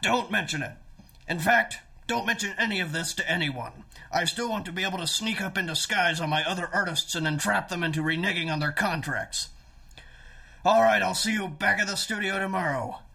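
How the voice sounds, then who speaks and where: A blustering showbiz schemer in a comedy radio play. His voice is high and bright with a rough rasp. He wheedles, sneers and bosses everyone around. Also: a little fast, full character voice. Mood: smug, scolding. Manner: wheedling, sarcastic, bossy. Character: scheming, blustering music manager, sometimes disguised as oily foreign label man.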